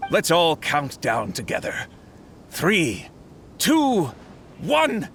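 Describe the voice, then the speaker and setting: An actor playing an eager, formal festival announcer in an anime-style video game, addressing a gathering. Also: male voice, a little high, wildly swooping pitch, very slow, vocal fry, noisy background, heavily acted. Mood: ceremonious.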